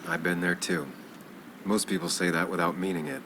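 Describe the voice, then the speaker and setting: An actor playing an earnest, caring journalist, troubled but steady, in a video game. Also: male voice, deep voice, normal speed, background noise, close to an everyday voice. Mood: gentle.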